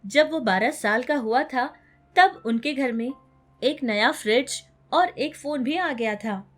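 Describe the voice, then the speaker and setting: Casting: female storyteller, high voice, scripted children's moral-tale narration, light music bed. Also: slow.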